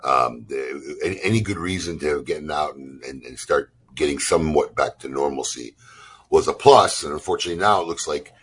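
A man hosting a casual interview, teasing his guest in a jumpy, animated way. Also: a bass voice, a little fast, breathy edge. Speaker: host.

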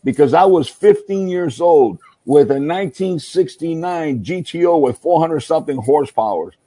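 A man's voice: fairly high pitch, lively intonation, moderate pace, warm tone, breathy edge, no room sound. A middle-aged man, a guest on a talk show, telling rambling stories about his family. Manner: rambling, emphatic, with restarts. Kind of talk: casual storytelling.

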